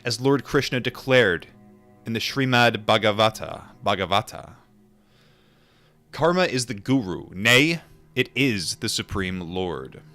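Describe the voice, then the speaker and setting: A man reading a book aloud and adding informal asides, in a measured, deliberate manner. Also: fairly low pitch, expressive, sharp tone, soft background music. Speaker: audiobook reader and commentator.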